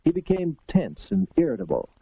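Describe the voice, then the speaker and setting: Expressive 1940s American educational film narrator, male. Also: fairly high pitch, fairly quick, slightly rough, no echo. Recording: dull, dry 1940s film soundtrack.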